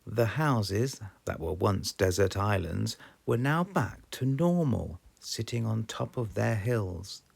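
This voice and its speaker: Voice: mid-range voice, expressive, slow, gravelly, hardly any pauses, a little mellow, slightly rough. A storybook narrator with a British accent, reading aloud expressively for children.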